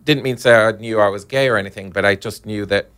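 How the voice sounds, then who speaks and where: A man's voice: fairly low pitch, fairly even intonation, fast talker, fairly bright tone, smooth. A middle-aged man with a Northern English accent, talking candidly as a guest travel agent in a casual interview. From Manchester, England.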